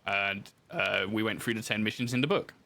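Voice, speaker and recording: A man's voice: on the low side, fairly quick, creaky, sharp tone. A man telling his story in casual interview chat, informal and self-deprecating, as a podcast guest who designs games.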